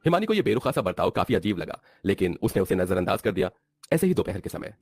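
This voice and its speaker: Very fast, animated male story narrator. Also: low-pitched, husky, no echo, studio quality. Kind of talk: scripted cartoon story narration.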